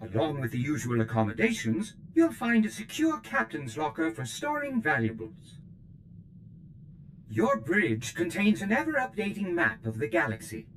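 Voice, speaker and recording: A fussy, servile steward droid. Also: male voice, a little high, wildly swooping pitch, normal speed, noisy background. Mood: eager servile. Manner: obsequious, formal and chirpy. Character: fussy male steward droid, eager to serve. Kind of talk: video game voice acting.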